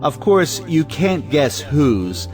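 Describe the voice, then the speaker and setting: An actor playing a proud, self-important gentleman host in an audio play, speaking in formal, pompous oratory. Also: on the high side, sing-song, takes it slowly, over music. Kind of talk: theatrical toast-making.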